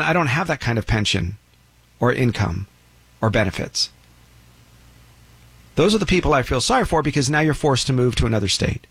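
A man's voice: medium pitch, fairly bright tone, dry recording. A man giving a blunt, opinionated monologue about money and retirement, lecturing with emphatic rises. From United States.

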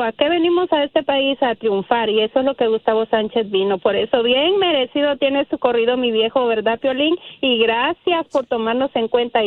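A woman's voice: a little high, no echo. Woman, caller, earnest, heartfelt, tinny phone line.

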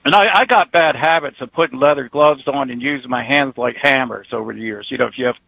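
A man's voice: mid-range voice, fast, creaky, sharp tone, husky, dry recording, low-quality recording. A man in his early sixties, a retired truck driver calling in, talking in a rambling, folksy way and chuckling between thoughts. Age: 60s. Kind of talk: casual phone-in chat.

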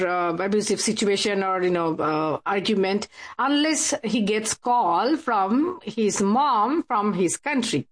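Halting woman interview guest, higher-pitched voice. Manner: halting, hesitant, searching for words. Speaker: guest, support worker for abuse victims. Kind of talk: radio interview, explaining from casework.

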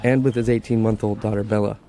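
A man narrating a scripted news feature as a reporter, with a measured, even delivery. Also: lowish voice, a crowd in the background.

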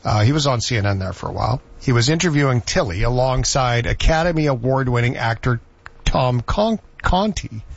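Man, radio host, husky, chatty, muffled sound. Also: medium pitch, expressive, a little fast. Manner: chatty, storytelling. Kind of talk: casual radio chat. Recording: dull lo-fi radio audio.

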